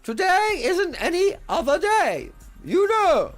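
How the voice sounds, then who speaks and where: A woman voicing a scatterbrained, fussy old queen with a very high, squealing voice. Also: big pitch swings, faint music behind, exaggerated voice. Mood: shrill, fussy. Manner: very high squealing, screaming, drawn-out.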